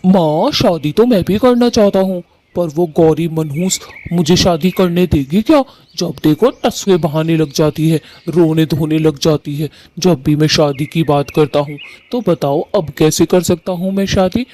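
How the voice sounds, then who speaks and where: A man's voice: on the high side, a little slow, darkish tone, lightly acted. A man voicing a cruel, grumpy husband in a cartoon, taunting and complaining. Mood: whining. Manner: harsh, complaining. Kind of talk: Hindi cartoon voice acting.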